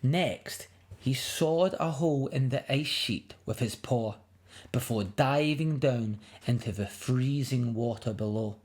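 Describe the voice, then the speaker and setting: A woman reading a picture book aloud to young children in an expressive, dramatic way. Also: very deep voice, takes it slowly. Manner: expressive, dramatic, with emphatic sound words.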